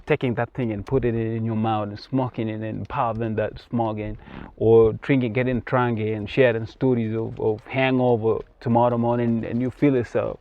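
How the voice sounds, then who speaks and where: A man's voice: mid-range voice, flat delivery, moderate pace, hardly any pauses, dull audio, a little hiss or hum. A Kenyan man who speaks English with an American-sounding accent, talking earnestly in an interview and often repeating words. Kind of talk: casual interview. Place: outdoors in rural Kenya.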